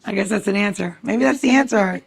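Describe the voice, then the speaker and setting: A woman's voice: medium pitch, fairly quick, vocal fry, slightly rough. A woman co-hosting a podcast in casual chat, talking in a very informal, chatty and emphatic way. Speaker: podcast co-host.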